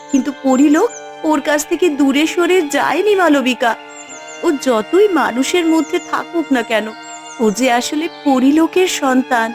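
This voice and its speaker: Woman acting as sorrowful fairy mother, high voice, dramatic pleading delivery, cartoon dub, music underneath. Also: lightly acted. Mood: troubled. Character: sorrowful fairy mother hiding her identity from her daughter.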